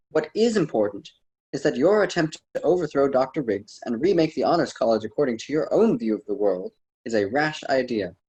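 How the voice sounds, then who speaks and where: A man's voice: medium pitch, a little mellow, breathy edge, poor audio. A man playing a wise, wordy poet mentor with a formal, didactic manner in an amateur student radio drama.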